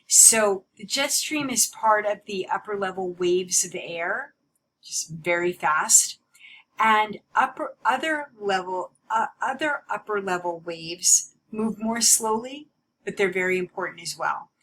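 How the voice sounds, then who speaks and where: A woman giving an explanatory slide lecture as a teacher, stressing key words in a deliberate way. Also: mid-range voice, animated, fairly bright tone, a little hiss or hum, poor audio.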